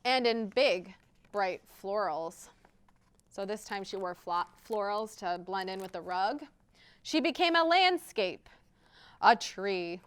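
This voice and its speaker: A woman hosts a storytime for young children. Her voice is smooth and clear, sitting at a medium pitch. She reads expressively and talks straight to her little listeners. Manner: expressive, addresses children directly. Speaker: library storytime host and children's reader. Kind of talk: picture-book read-aloud with interactive rhymes for young children.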